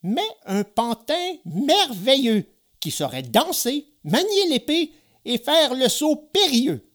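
This is a fiery little old man in a storybook tale. The voice sits high and leaps around animatedly. He is excitable, easily offended and given to exclamation. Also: slow pace, exaggerated voice. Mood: sprightly, eager. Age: older. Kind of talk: storybook character voice.

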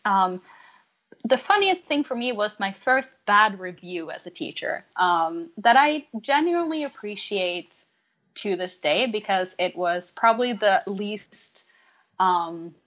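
A Norwegian-born woman who teaches yoga, speaking at a middling pitch in a thoughtful, halting way, with frequent ums as she gathers her words. The audio is a little dull and lo-fi. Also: fairly bright tone. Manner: reflective, many ums and hesitations. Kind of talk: casual podcast conversation.

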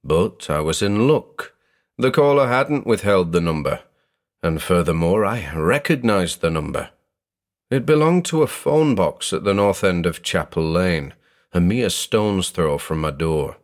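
Male, low register, measured dramatic narrator for scripted horror fiction. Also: expressive. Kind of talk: scripted horror audiobook narration in the first person.

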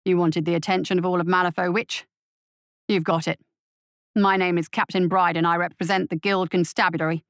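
A woman playing Captain Bryden, stern and low-voiced. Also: little pitch movement, fairly quick, dry recording, clean studio sound, close to an everyday voice. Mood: defiant. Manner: curt, commanding. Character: stern, principled male police captain, defiant and authoritative.

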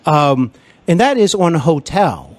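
A man hosting an interview podcast, enthusiastic and complimentary, often pausing with fillers. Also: on the high side, big pitch swings, no echo. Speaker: podcast host.

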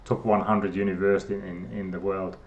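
A man's voice: low-pitched, flat delivery, a little fast, highs cut off. A middle-aged man from Finland with a Finnish accent, a guest scientist who talks hesitantly with many fillers and restarts. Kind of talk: casual interview talk.

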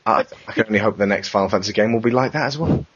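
Guy on a podcast, sarcastic and rambly, low voice, kinda muffled recording. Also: very fast, husky. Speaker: podcast panelist. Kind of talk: casual discussion.

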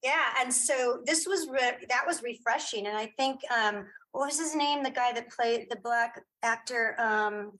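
A middle-aged woman chatting casually as an interview guest, telling anecdotes in an animated, emphatic way and searching aloud for names. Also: a little high, unhurried, few pauses, poor audio. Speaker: interview guest, writer and director.

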